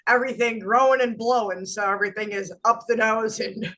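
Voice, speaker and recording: A woman's voice: on the high side, steady tone, a little fast, a bit bright. Woman podcast host, stuffy nasal voice, chatty and bubbly, video call. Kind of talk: podcast interview.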